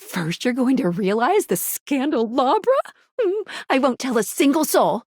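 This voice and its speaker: A woman with a high voice and a rough, breathy edge. She talks slowly and casually as she plays, with lively swings of pitch in a close, dry sound. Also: dry recording. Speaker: game player.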